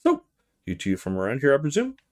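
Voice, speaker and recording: A man's voice: mid-range voice, wildly swooping pitch, brisk. A man voicing a friendly, concerned young male student in a visual novel, tentative and polite. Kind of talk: visual novel character read-aloud.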